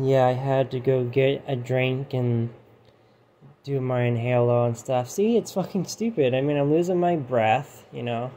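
Mellow, rambling man with a fraying voice. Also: mid-range voice, a little slow. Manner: rambling, profane, full of "you know". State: voice going hoarse. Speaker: channel host. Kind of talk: casual rambling monologue. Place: his room, indoors. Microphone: phone set down nearby. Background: heater hum.

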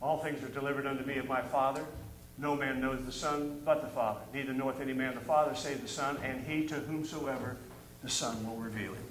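A man's voice: mid-range voice, fairly even intonation, fairly quick, slight background noise. A middle-aged man preaching a sermon from a pulpit, reading scripture aloud and building in fervor. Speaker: pastor. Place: church stage at a wooden pulpit. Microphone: pulpit microphone. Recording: roomy church hall sound.

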